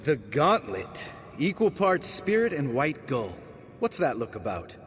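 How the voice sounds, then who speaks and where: An actor playing a cocky, sardonic monster hunter in a fantasy game, blunt and sarcastic. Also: male voice, mid-range voice, sing-song, unhurried, fairly bright tone, in a large hall, slight background noise.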